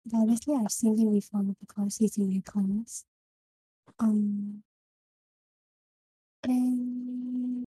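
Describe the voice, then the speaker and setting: Hesitant Singaporean woman, dark husky voice. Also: medium pitch, no echo, rough recording. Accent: Singaporean English. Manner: halting, searching for words. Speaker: book club member. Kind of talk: casual book discussion.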